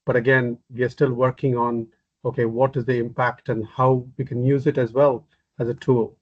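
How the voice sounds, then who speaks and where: A man speaking as a psychiatrist on a podcast, in a flat, level voice that barely rises or falls. He explains things in a measured way and often repeats words. The sound is dry and muffled. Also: mid-range voice, dry recording. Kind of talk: podcast interview answers. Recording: dry, dull, muffled audio.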